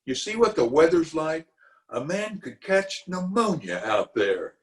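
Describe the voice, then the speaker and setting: A man's voice: on the high side, sing-song, measured pace. A man playing a pompous, self-justifying middle-aged uncle in an old-time radio comedy, with a blustery, chuckling manner. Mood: defensive. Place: recorded remotely over a video call.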